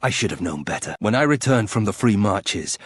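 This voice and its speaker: A man's voice: lowish voice, animated, normal speed, breathy edge, dry recording. An actor playing a proud, brooding young nobleman turned reluctant warrior in a fantasy video game, formal, terse and bitter. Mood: bitter, resentful.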